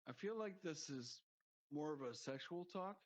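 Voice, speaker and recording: A man's voice: a little high, animated, moderate pace. A man guesting on a podcast, joking crudely and rambling in casual chat. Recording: dull lo-fi sound.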